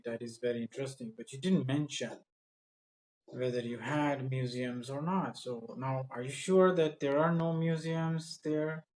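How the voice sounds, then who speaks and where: A man's voice: medium pitch, darkish tone, rough recording. Guy tutoring english speaking, casual but instructive, asks questions and explains stuff. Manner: instructive, informal. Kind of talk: conversational coaching and mock interview questions.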